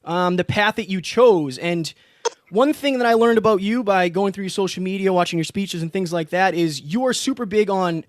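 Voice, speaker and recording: A man's voice: high-pitched, moderate pace, no room sound, studio quality. A man hosting a podcast, asking a guest long, eager questions. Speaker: podcast host. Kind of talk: podcast interview.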